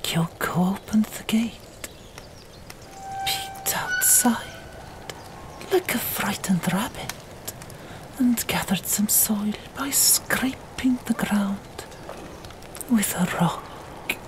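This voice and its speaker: A woman narrating an audiobook in a breathy ASMR whisper, hushed and deliberate, pausing between short phrases. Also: mid-range voice, wildly swooping pitch.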